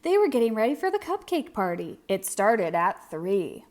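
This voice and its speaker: A woman's voice: medium pitch, big pitch swings, normal speed, a little mellow. A woman narrating a children's read-aloud story expressively, with dramatic emphasis.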